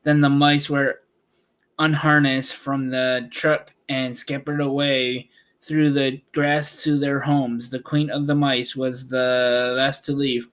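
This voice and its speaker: A man reading a children's story aloud as an amateur narrator, halting at times and correcting his words. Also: medium pitch, little pitch movement, fairly bright tone, smooth.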